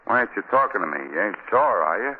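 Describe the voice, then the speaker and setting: On a dull old radio recording with faint noise, a man plays a naive down-and-out fellow. He rambles in folksy, ungrammatical talk with wildly swinging pitch, in 1940s radio drama style. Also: expressive, quick pace, natural voice. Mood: chatty, curious. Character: chatty, naive down-and-out man, turning frantic.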